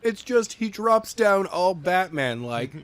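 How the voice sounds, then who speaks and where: A man's voice: high-pitched, big pitch swings, slow pace, clear, resonant tone, dry recording. A man co-hosting a podcast in casual banter, with a playful, teasing manner and short punchy remarks. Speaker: podcast co-host.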